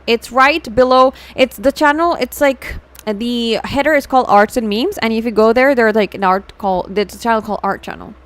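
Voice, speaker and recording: A woman's voice: a little high, a little fast. A woman with an Indian accent hosting a casual livestream, chatting informally with viewers and slipping between English and Hindi. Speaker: VTuber livestream host. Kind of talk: casual livestream chat reacting to fan art and viewers.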